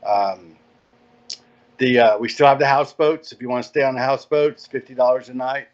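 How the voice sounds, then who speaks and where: Through slightly muffled, lo-fi audio, a man with a mid-pitched voice answers casually as an interview guest. His manner is easygoing and plainspoken. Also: fairly even intonation, fairly quick. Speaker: podcast guest, marina director. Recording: dull, lo-fi call audio.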